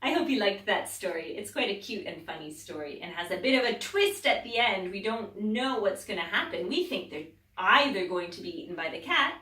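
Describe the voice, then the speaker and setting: A woman teacher reading a children's story aloud, expressive, dropping to whispers and rising to loud exclaims. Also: medium pitch, fairly quick. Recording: echoey room, distant mic.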